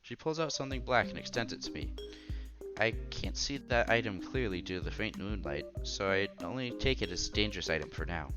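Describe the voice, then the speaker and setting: A man reading story narration aloud with expressive, theatrical reactions as he hosts a visual novel playthrough. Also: fairly low pitch, fairly quick, music in the background.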